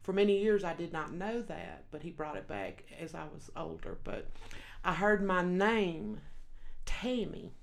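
A woman's voice: on the low side, sing-song, a little slow, vocal fry. Texan woman telling her testimony. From Texas. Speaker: guest minister. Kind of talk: personal testimony.

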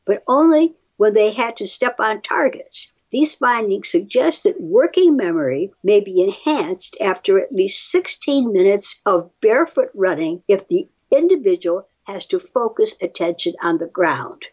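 A woman's voice: mid-range voice, lively intonation, measured pace, few pauses, slightly rough. An older woman reading aloud and explaining in plain, wry, chatty asides, on a slightly dull, muffled recording. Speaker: guest reading and commenting on research studies.

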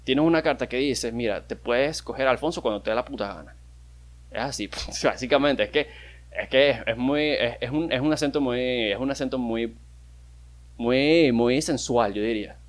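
A young Venezuelan man chats alone into a podcast mic in a loose, rambling way. He jokes, swears freely and stumbles into restarts mid-thought. His pitch sits mid-range for a man. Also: normal speed. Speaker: podcast host. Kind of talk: casual solo podcast monologue.

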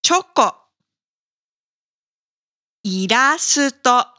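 Very slow, bright-voiced woman teacher. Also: fairly high pitch, animated. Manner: careful, deliberate enunciation. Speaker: language teacher and podcast host. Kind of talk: slow scripted language lesson for learners.

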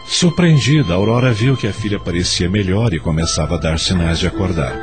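A man with a Brazilian accent narrating a scripted radio drama as an expressive storyteller. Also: deep voice, fairly quick, music in the background.

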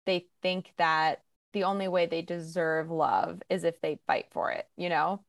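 A woman's voice: fairly low pitch, unhurried, creaky, a loud crowd. A woman hosting a podcast, talking casually and emphatically with strong opinions and a lot of "like". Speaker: podcast host. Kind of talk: casual conversational podcast talk.

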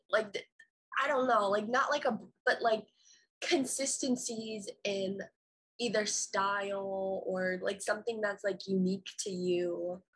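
Young woman, husky, loose rambling chat delivery. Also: mid-range voice, rough recording. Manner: rambling, many "like" fillers. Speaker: songwriter in a discussion. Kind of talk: casual chat.

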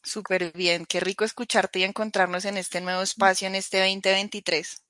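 A woman's voice: medium pitch, little pitch movement, moderate pace, sharp tone, no room sound. A woman hosting a casual podcast interview, upbeat and welcoming as she introduces guests. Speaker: host.

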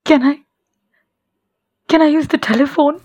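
A woman's voice: high voice, steady tone, a little slow, a little mellow, dull audio. A woman acting in an audio play as a restless young wife, pleading yet blunt.

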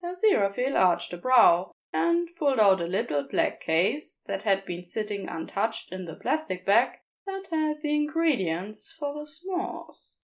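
Unhurried, melodic woman narrating fiction. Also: on the high side, sing-song. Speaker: narrator and reader. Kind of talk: scripted fan fiction narration.

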